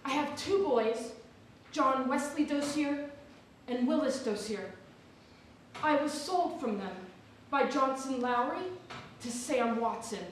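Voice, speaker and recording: A woman's voice: sits high, animated, slow pace, long pauses. A woman giving a dramatic reading as a formerly enslaved woman searching for her family. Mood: solemn, longing. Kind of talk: dramatic reading of a historical newspaper ad. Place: theatre or hall, recorded from a distance. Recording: echoey hall from far off, faint noise.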